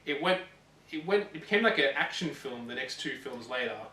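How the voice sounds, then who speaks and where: A man chatting casually as co-host of a gaming show, often halting and repeating himself as he thinks. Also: mid-range voice, animated, pushed and bright. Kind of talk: casual chat about films and games. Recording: roomy, distant mic with faint noise.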